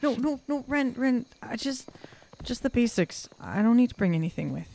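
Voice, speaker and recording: A woman's voice: on the high side, animated, moderate pace, creaky, mellow, voice pushed up. A woman voicing a young male gnome soldier in a tabletop fantasy game, hesitant, polite and subdued. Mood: flustered. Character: Theodore, young male gnome soldier, dutiful, meek, anxious.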